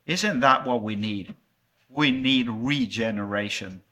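Bright-voiced preacher from El Salvador. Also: male voice, mid-range voice, slow. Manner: friendly, conversational, with small laughs and fillers. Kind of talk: revival sermon with children's object lesson.

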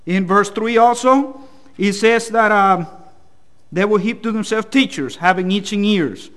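A man's voice: sits high, a little slow, fairly bright tone. A man from El Salvador with a Salvadoran Spanish accent, preaching a sermon in a plain-spoken, somewhat hesitant and self-deprecating manner.

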